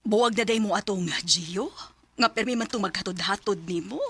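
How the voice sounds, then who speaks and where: A woman's voice: medium pitch, brisk, loud music behind the voice, natural voice. A middle-aged woman playing a caring mother in a radio drama, who gives her daughter advice in a motherly way. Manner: motherly, advising. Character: caring mother of a teenage girl.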